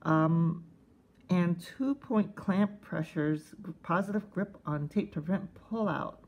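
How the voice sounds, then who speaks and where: Halting, even-toned woman reviewer, low-pitched. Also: dull audio. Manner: halting, conversational, thinking aloud. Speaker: host of a cassette collecting video channel. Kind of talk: casual unscripted hands-on review commentary. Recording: faint background noise, casual tablet recording.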